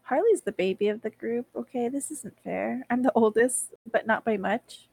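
A woman's voice: a little high, moderate pace, vocal fry, darkish tone. A woman in her twenties co-hosting a podcast, teasing her friends, giggling and asking the group questions. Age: 20s. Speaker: podcast co-host. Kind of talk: casual chat.

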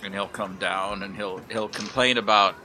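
A man's voice: fairly low pitch, steady tone, normal speed, sharp tone, faint music behind. A man playing a tabletop game, casually narrating what his character does.

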